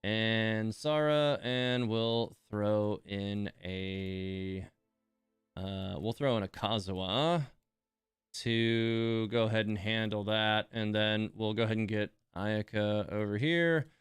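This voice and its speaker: A man with a low, smooth voice talks through his gameplay live. He thinks aloud in an informal, easygoing manner, like a streamer chatting to viewers. The sound is close and dry. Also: fairly even intonation, slow, no room sound. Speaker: game streamer and host. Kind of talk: casual live gameplay commentary.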